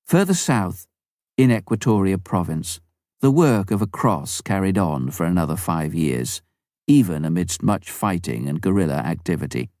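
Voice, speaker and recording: A man with a very low voice reads a memoir as an audiobook, measured and earnest. The recording is close and dry, with no room sound. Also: sing-song, darkish tone, dry recording. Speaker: audiobook reader. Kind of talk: first-person memoir narration.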